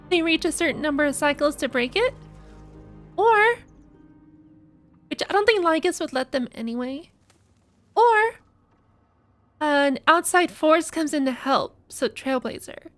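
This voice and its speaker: A woman game streamer giving casual reaction commentary, thinking out loud conversationally and sometimes reading text aloud. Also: extremely high pitch, lively intonation, unhurried, long pauses, soft background music.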